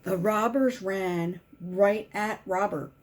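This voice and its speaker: A woman reading a children's picture book aloud as a volunteer, in simple, deliberate storytelling with emphasized key words. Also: medium pitch, a little hiss or hum.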